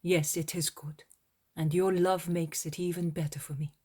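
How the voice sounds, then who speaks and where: Calm gentle teacher, read by a woman. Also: lowish voice, normal speed, slightly rough. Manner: quiet, calm.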